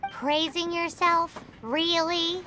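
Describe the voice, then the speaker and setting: A woman voicing a tiny, cheeky, excitable fairy companion with a squeaky childlike voice in a video game. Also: slow, over music. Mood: teasing. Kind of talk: scripted video game voice acting. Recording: loud background game noise.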